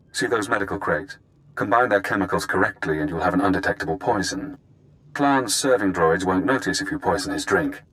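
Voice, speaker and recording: A man voicing a cunning, analytical former spy in a video game, speaking in measured, clipped, clinical phrases. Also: low voice, fairly bright tone, poor audio. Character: cunning imprisoned former spy, cool, analytical, morbidly admiring.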